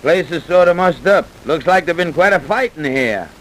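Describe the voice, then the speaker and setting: A man's voice: a little high, animated, moderate pace, lightly acted, low-quality recording. Man playing a folksy sceptical cop, hissy recording. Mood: sceptical, matter-of-fact. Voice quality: folksy, ungrammatical speech. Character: plain-spoken, sceptical New York police officer. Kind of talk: old radio drama acting. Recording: hissy old radio recording.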